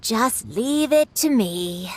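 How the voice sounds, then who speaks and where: An actress playing a kindly elderly granny who sells kites in a video game, gentle and grandmotherly. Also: a little high, expressive, slow pace, noisy background. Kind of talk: scripted video game voice acting. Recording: game music over the voice.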